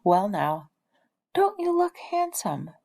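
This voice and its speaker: A woman reading the part of a gentle, reserved preacher father in a storybook, in a measured, deliberate way. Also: mid-range voice, wildly swooping pitch, vocal fry, voice pushed up, full character voice. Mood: gently resigned.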